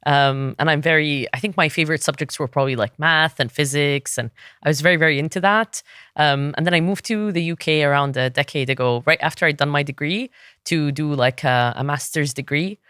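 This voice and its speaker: A woman in her thirties from Egypt, a native Arabic speaker, talking as a podcast guest in a thoughtful, relaxed way with frequent restarts. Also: low voice, a little fast, clear, resonant tone, dry recording, studio quality. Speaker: podcast guest, SEO consultant. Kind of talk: casual interview talk.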